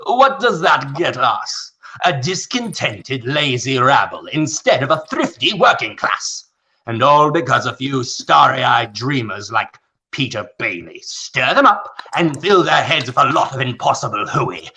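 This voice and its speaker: Casting: male actor as contemptuous old moneyman for radio play; bright, forceful, widely swinging pitch, noisy line. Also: big pitch swings, unhurried, heavily acted. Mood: pompous, scornful. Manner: pompous, contemptuous speechifying. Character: scornful, grasping old rich male banker and board member. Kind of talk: live radio play reading. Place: remote online reading from home. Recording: noticeable noise with clicks.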